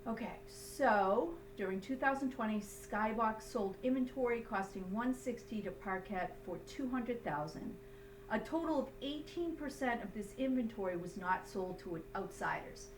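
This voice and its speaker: A woman's voice: a little high, lively intonation, normal speed. A woman instructor explaining a lesson step by step in an emphatic, didactic way, often checking understanding. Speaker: accounting instructor. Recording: roomy, distant mic, faint hum noise.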